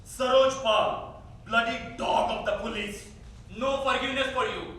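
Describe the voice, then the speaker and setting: A man in a staged reading, playing an angry accusing voice from a memory, declaiming loudly. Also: very high-pitched, steady tone, measured pace, exaggerated voice. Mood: furious, denouncing. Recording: echoey theater from far off, faint noise.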